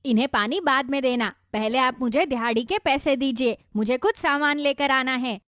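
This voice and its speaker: A woman's voice: high-pitched, moderate pace, fairly bright tone, dry recording, studio quality. A woman voicing a greedy, rude young daughter-in-law in a cartoon story, scolding and making insolent demands. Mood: demanding. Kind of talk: Hindi cartoon story voice acting.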